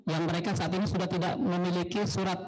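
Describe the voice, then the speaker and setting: A man with a fairly high voice speaks as a community representative. He is polite and respectful, responding formally but without a script. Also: steady tone, quick pace, darkish tone, poor audio. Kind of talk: spontaneous formal response at a meeting.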